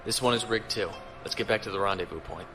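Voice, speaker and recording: An actor playing a steady, courteous starship captain in a science fiction audio drama, crisp and authoritative. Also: male voice, on the low side, fast, natural voice. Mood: composed, instructing. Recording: faint music behind.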